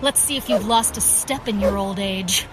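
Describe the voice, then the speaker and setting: A woman's voice: mid-range voice, normal speed, natural voice. A young woman acting as a brash, headstrong vigilante in an audio drama, taunting and eager to prove herself. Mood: cocky, defiant.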